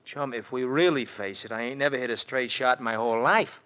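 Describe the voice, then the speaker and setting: A man's voice: mid-range voice, brisk, clear, resonant tone, natural voice. An actor playing a brash, wisecracking male sidekick who grumbles in loose slang, in 1940s radio drama style. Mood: fed up. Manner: slangy, ungrammatical, grumbling, animated. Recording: vintage golden-age radio recording.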